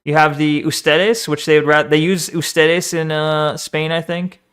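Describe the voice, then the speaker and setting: A man streaming and chatting casually, animated, swearing and talking back to the screen. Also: a little high, normal speed, clear, resonant tone. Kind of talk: casual live-stream chat and video reaction.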